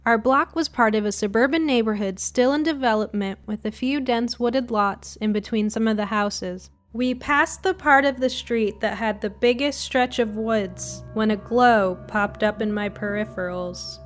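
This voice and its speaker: A woman's voice: on the high side, moderate pace, hardly any pauses, faint music behind. A woman reading a scripted short story in the first person, as a storybook narrator.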